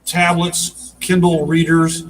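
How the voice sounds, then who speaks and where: A man explaining his work as an audio drama producer in a casual, halting way during an interview over a video call. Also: fairly high pitch, monotone, crowd noise, low-quality recording. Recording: noticeable background noise, video call.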